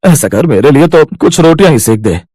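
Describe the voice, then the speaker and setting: A man playing a demanding, complaining young husband or in-law with a brisk, entitled manner in a moral story. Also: a little high, expressive, dark tone, slightly rough, no room sound.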